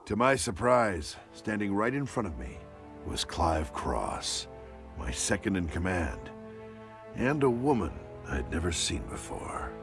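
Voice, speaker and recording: Grizzled, gravelly, low-voiced war veteran captain. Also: male voice, big pitch swings, a little slow, over music, exaggerated voice. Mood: grim recounting. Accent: American. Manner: terse, hard-boiled, profane. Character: grizzled, aging war veteran captain, blunt and defiant Nazi-killer. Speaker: soldier narrating his war story. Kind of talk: dramatic video game narration and dialogue.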